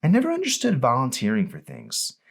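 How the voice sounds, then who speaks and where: Man voicing a haughty teen girl, pitched way up. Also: huge pitch swings, fairly quick, creaky, heavily acted. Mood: dismissive. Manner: breezy, dismissive, coy.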